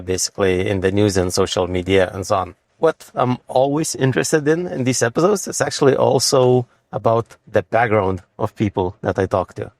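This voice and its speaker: A man's voice: fairly low pitch, a little mellow, dry recording. A man hosting an interview show, asking questions in a casual conversational style. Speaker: podcast host, interviewer.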